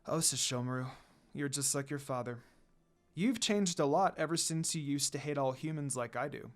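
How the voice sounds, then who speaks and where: A man voicing a stately demon noblewoman in a story, a formal, questioning mother who softens. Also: medium pitch, moderate pace, lightly acted. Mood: resigned, musing. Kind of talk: storybook character reading.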